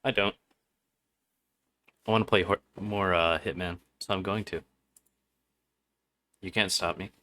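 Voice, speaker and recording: Male game streamer, very low bright voice, loose joking delivery, light music behind. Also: fairly even intonation, a little slow, pauses often. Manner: laid-back, joking, profane. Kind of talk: casual live gaming commentary.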